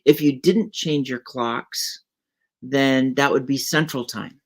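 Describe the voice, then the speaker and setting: A woman from the US West Coast, hosting a podcast and chatting in a relaxed, conversational way. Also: very deep voice, slow. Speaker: podcast host. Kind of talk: casual interview chat.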